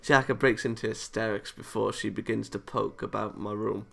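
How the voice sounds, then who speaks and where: A man's voice: lowish voice, fairly even intonation, normal speed, creaky, fairly bright tone, soft background music. A man playing a visual novel, reading the story aloud and adding casual reactions of his own. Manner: chuckles and comments between read lines. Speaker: video game let's player.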